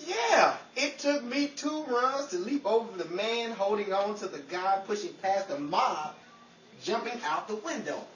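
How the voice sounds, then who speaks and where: A man playing a wry, excitable male buddy in old-time radio comedy style. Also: high-pitched, a little slow. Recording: echoey, distant, faintly noisy recording.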